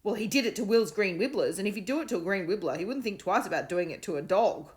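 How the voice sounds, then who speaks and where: A woman voicing a sharp-tongued schoolgirl who scolds and warns bluntly. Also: mid-range voice, animated, fast talker, voice pushed up, natural voice. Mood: warning.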